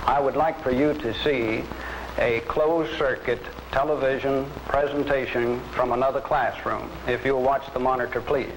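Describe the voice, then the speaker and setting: A man presents to a class in a formal instructor's style. His pitch is average. There is faint noise on the old film recording. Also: fairly even intonation, normal speed.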